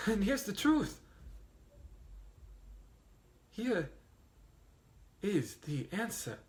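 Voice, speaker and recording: A man's voice: sits high, a bit bright, exaggerated voice. An actor playing a grieving young man giving a eulogy for a friend in a film drama, raw and bitter. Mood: furious. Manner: raw, bitter, rising to anger.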